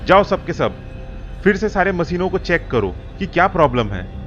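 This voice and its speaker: A man's voice: high-pitched, sing-song, normal speed, fairly bright tone, muffled recording, soft background music. Man acting a stressed, demanding cartoon factory supervisor. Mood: urgent, annoyed. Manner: urgent, commanding. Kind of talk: cartoon story dialogue.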